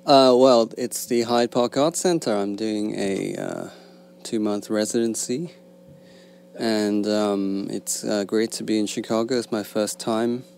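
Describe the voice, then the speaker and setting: A man from Hong Kong whose first language is Cantonese, a guest artist answering in a hesitant, halting way with dry humor. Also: on the low side, lively intonation, creaky, a little mellow, a little hiss or hum. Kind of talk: casual interview chat.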